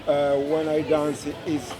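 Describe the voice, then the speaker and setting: A man from Angola, a kizomba teacher speaking haltingly in an interview, searching for his words. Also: fairly high pitch, completely flat delivery. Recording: music and crowd behind.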